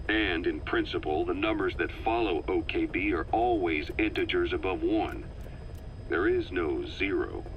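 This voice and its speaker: An actor playing a serious military intelligence officer in a video game, delivering a measured, explanatory briefing. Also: male voice, low voice. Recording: dull lo-fi tape, faint noise.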